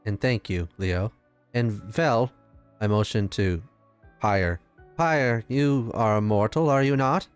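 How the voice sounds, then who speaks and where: A man's voice: on the low side, takes it slowly, smooth, soft background music. A man playing an earnest, determined mortal adventurer in a fantasy tabletop game, speaking in an insistent, persuasive way.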